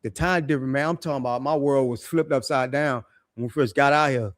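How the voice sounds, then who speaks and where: Raspy middle-aged man, casual. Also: fast talker, dry recording. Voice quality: deep raspy voice. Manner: laid-back, repeats phrases, slangy. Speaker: guest living abroad. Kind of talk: casual chat.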